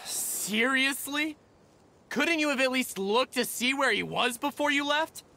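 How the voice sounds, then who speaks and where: A man's voice: extremely high pitch, animated, a little slow, creaky, bright, forceful tone, heavily acted. An actor playing an earnest, testy young male caretaker in a video game, fussy and articulate, with faint noise behind.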